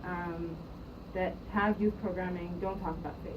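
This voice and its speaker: A woman's voice: on the low side, fairly even intonation, normal speed. Young woman from jerusalem recalling stuff, lots of ums, far off mic, kinda muffled and noisy. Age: young adult. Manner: hesitant, many ums and restarts. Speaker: interviewee, youth program staff member. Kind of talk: casual spoken recollection.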